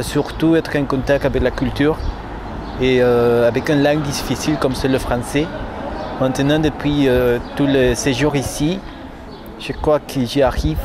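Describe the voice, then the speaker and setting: A young man with a Mexican accent, an exchange student answering a street interview in hesitant learner's French, pausing to search for words. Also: medium pitch. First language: Spanish. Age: young adult. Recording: noisy outdoor recording.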